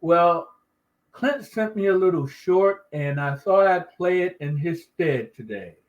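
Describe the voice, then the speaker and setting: A man hosting a podcast, talking in a relaxed, easygoing way and drawing out his phrases. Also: high-pitched, measured pace, dull audio. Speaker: podcast host. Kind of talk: casual podcast chat.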